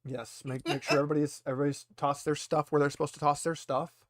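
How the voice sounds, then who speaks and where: Higher-voiced man running a tabletop game. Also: flat delivery, quick pace, crowd noise. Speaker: game master. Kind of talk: improvised tabletop game narration.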